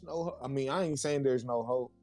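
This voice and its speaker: Animated slangy man, faint music behind. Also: medium pitch, fairly quick. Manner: animated, slangy, keeps saying "you feel me", laughs between points. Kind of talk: casual chat.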